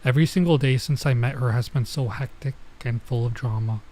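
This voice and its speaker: A man's voice: medium pitch, brisk, warm tone. A man streaming a visual novel, reading it aloud and dropping in casual asides. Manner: stops to comment and translate Japanese phrases. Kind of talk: let's play read-aloud with casual asides.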